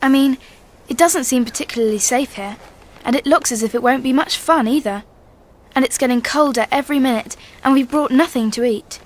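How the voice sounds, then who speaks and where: A woman's voice: high voice, fairly quick. A woman playing a sensible, cautious schoolgirl older sister with a British accent in a radio drama. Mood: anxious.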